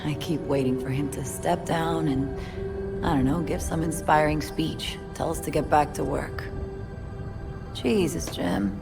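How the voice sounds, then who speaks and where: Low gravelly female voice, world-worn police officer, wry, plain-spoken, video game drama, music behind. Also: steady tone, a little slow. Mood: wistful, wry. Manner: dry, streetwise, plain-spoken. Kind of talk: video game cutscene acting.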